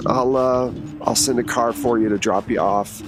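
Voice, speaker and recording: A man's voice: medium pitch, fairly even intonation, normal speed, close to an everyday voice. A man voicing a professional woman handler in a tabletop game, briefing in a matter-of-fact, conversational way. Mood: casual, frank.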